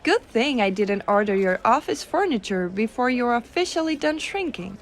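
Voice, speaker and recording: A high, very expressive female voice for a giantess secretary, acted by a woman in an ASMR roleplay. She is gentle and doting, coaxing the listener with soft reassurance and a teasing, quietly dominant edge. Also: normal speed. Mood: helpful, lightly teasing.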